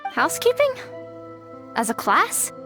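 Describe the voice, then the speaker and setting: A put-upon housewife from a video game, her voice high and very animated. She talks frankly yet politely, with music underneath. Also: measured pace, heavily acted. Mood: politely curious. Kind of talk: scripted video game dialogue.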